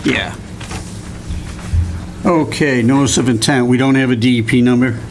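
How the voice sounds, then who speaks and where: A man chairing a town commission meeting, brisk and friendly as he moves through the agenda. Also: mid-range voice, fairly even intonation, soft background music. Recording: noisy meeting room audio.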